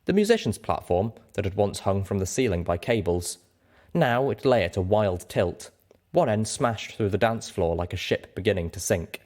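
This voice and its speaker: A man reading fiction aloud as an audiobook narrator, in an animated storytelling style. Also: lowish voice, moderate pace. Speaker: audiobook reader.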